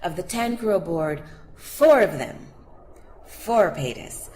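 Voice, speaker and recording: A woman's voice: on the low side, animated, slow pace, a little mellow. A woman playing an earnest, intrepid field reporter giving a live report from aboard a spaceship in an audio drama. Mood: brisk, reporting. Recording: noisy spaceship ambience behind voice.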